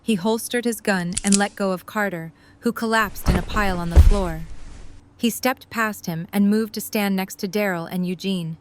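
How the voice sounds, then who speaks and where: A woman narrates an audio drama from a script. Her voice sits at a medium pitch as she tells the story. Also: moderate pace, slight background noise.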